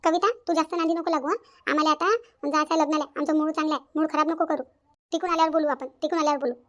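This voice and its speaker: A woman's voice: high-pitched, steady tone, racing, lightly acted. A woman playing a bossy, easily irritated older village mother-in-law with a Varhadi accent in a cartoon family drama. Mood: annoyed. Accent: rural Maharashtra, Varhadi. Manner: scolding, repetitive, insistent.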